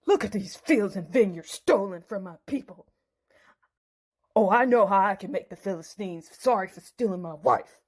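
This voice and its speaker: A woman's voice: medium pitch, expressive, normal speed, a little mellow, slightly rough. A woman voicing a mighty, wrathful male hero in a dramatic, indignant Bible storybook dramatization. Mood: vengeful.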